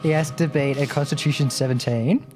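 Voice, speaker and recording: A man's voice: mid-range voice, a little fast. Casual male tabletop player over music. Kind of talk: casual game-table chat. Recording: music playing behind the voice.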